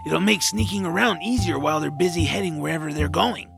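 A man's voice: fairly high pitch, fairly quick, gravelly, over music. An actor playing a reluctant, complaining young male hero in a fantasy audio drama. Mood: conspiratorial. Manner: complaining, exasperated.

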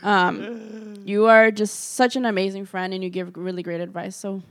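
A woman's voice: medium pitch, little pitch movement, moderate pace, fairly bright tone. Young woman hosting a casual podcast. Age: 20s. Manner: informal, playful, effusive. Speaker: podcast host. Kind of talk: casual podcast chat with a friend.

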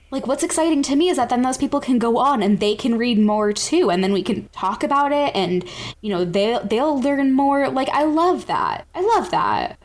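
A woman co-hosting a podcast, chatting enthusiastically and swearing casually. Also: fairly high pitch. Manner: enthusiastic, swears freely, laughs often. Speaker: podcast co-host.

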